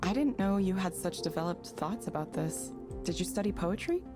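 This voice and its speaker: Woman acting reserved, low-voiced, understated woman. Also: expressive, moderate pace, lightly acted. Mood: gently interested. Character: reserved, modest, guarded woman, former tech researcher. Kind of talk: naturalistic visual novel acting. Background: game music.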